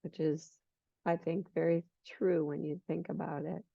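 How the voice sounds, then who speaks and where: A hoarse middle-aged woman guiding a yoga class in a relaxed, unhurried way, with some fillers along the way. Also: sits low, no echo. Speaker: yoga teacher. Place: yoga studio. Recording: muffled lo-fi recording.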